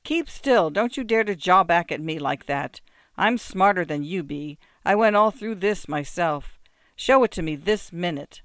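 A bellowing drunken peasant, bossy and rambling, pitched a bit high and pushed loud, as a male narrator puts him on. The voice sounds smooth and close in a dry space. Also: animated, a little slow, no echo, full character voice. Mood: bullying. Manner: blustering, bossy, slurred shouting. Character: drunken boastful peasant man, gruff and rambling.

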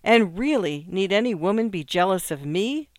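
Man as a touchy, wounded little secretary, high-voiced woman. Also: normal speed, fairly bright tone, smooth, no room sound, clean studio sound, lightly acted. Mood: earnest protest. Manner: nervous, wounded, haughty. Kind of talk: acted literary short story.

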